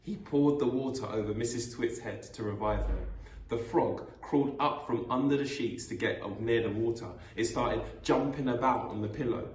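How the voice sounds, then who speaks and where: A man reading a children's story aloud in an expressive, deliberate storytelling manner. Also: mid-range voice, a little hiss or hum. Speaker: school vice principal reading a children's story. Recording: echoey room.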